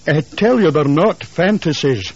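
A man's voice: fairly high pitch, animated, unhurried, a little mellow, husky, no echo, dull audio, voice pushed up, heavily acted. A man voicing a shaken, insistent husband in a ghost story, earnest and nervy. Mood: insistent, defensive.

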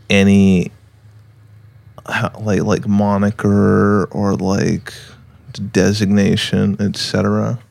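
Slow, gravelly-voiced man in his late twenties. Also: low-pitched, darkish tone. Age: late 20s. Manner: slow, hesitant, thoughtful. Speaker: podcast guest. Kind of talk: casual interview.

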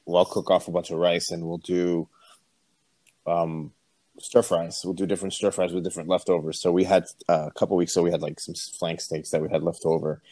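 A man from Puerto Rico co-hosting a podcast, telling stories in a relaxed, easygoing way with plenty of ums. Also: deep voice, fairly quick, no room sound. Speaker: podcast co-host. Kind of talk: casual chat.

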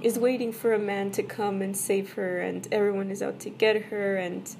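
Hoarse woman chatting about growing up. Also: medium pitch, level tone, moderate pace, darkish tone, slight background noise, low-quality recording. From El Paso, Texas, USA. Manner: conversational, full of "you know" and "right?". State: hoarse from a cold. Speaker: vlogger. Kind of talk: casual personal talk to camera.

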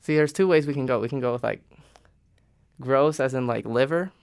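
A young man from Venezuela, a college student guest who talks relaxed and thinks aloud. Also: mid-range voice, fairly quick, gravelly, clean tone. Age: young adult. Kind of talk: casual chat.

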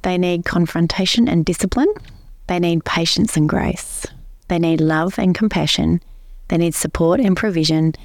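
A woman co-hosting a faith podcast, explaining points at length in a steady way. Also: fairly low pitch, moderate pace, dry recording, clean studio sound. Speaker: podcast co-host, pastor. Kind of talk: casual faith podcast chat.

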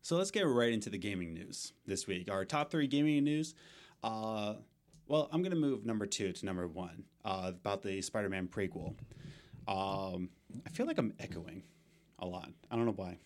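A man's voice: on the low side, expressive, normal speed. A young man co-hosting a casual gaming podcast, rambling with lots of fillers and restarts. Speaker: podcast co-host.

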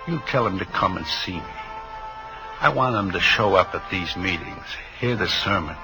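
An actor playing an earnest, pious down-and-out man in an old-time radio drama, with a gravelly voice. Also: low-pitched, animated, normal speed, low-quality recording. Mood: earnest, hopeful. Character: pious, earnest down-and-out man grateful for small favors. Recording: vintage radio recording with music.

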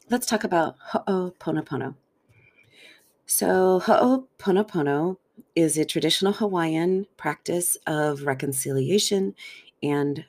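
Low-voiced woman hosting a wellness podcast. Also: vocal fry. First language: English. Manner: warm, affirming, playful asides. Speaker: podcast host, therapist and coach. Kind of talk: solo podcast monologue. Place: sitting in a comfy chair indoors.

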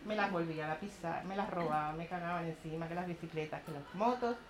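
A middle-aged woman from Chile with a Chilean accent, speaking at a community talk and telling lively stories about her neighborhood projects. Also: lowish voice, quick pace, over a noisy crowd. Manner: animated storytelling, laughing often, acting out voices of others. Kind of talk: public talk with audience questions. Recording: echoey room, some background noise.

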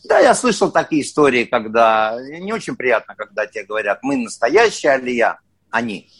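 Actor guy in an interview, kinda husky voice, tells stories and acts out the quotes. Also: medium pitch, moderate pace. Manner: lively, mimics other people's voices as he quotes them. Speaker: actor, guest. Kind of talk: casual interview talk.

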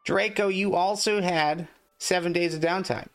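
A man improvising tabletop game narration as game master, speaking haltingly and often repeating words. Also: high voice, fairly even intonation, faint music behind.

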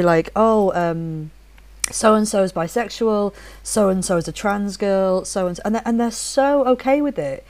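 A woman's voice: fairly low pitch, a little mellow. A woman in her forties from Leeds, with a faint, mostly neutral northern English accent, chatting animatedly as host and often restarting her sentences. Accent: soft northern English, mostly neutral. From Leeds, England. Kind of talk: casual chat.

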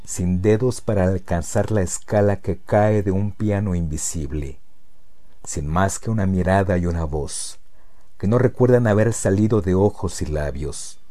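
A man reciting poetry in a solemn, measured and deliberate manner, with pauses between phrases. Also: deep voice, mellow.

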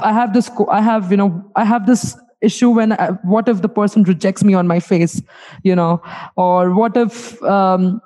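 Female interviewer, Indian accent, soft mellow tone, casual and rambling, with repeated words while framing questions. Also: mid-range voice.

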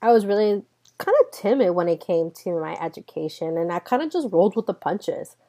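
Low-voiced young woman podcaster. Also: expressive, brisk, warm tone. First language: Spanish. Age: 20s. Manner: earnest personal storytelling, addresses listeners directly. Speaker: podcast host. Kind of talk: solo podcast monologue.

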